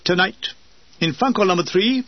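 Man playing a sly army bombardier, rather high voice. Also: normal speed, muffled recording. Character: sly, self-important army bombardier cook pitching a deal.

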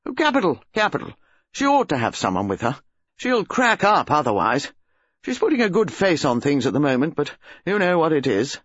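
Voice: mid-range voice, sing-song, brisk, fairly bright tone, slightly rough, dry recording, highs cut off. A reader voicing Colonel Bantry, a bluff older English colonel who greets his guests heartily.

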